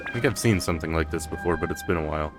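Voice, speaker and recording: A man with a low voice chats casually to himself as he plays a game. His commentary is informal and muttered, with game music clearly audible behind him. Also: rapid-fire. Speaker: video game player. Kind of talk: casual let's-play commentary.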